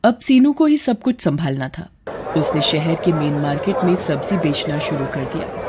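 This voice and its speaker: A woman's voice: sits low, expressive, moderate pace, a loud crowd, very noisy. A woman narrating a scripted story as storyteller.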